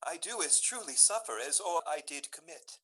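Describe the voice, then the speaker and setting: A man's voice: a little high, expressive, normal speed, sharp tone, breathy edge, heavily acted. An actor playing an ardent, faithless young male lover in a Shakespeare radio drama, speaking verse theatrically. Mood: ashamed.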